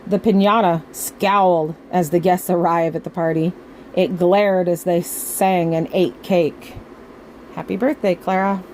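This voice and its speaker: A woman reading a children's picture book aloud in the expressive manner of a grandmother reading to kids. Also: lowish voice, a little slow, a little hiss or hum, rough recording. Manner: expressive, acting out the dialogue.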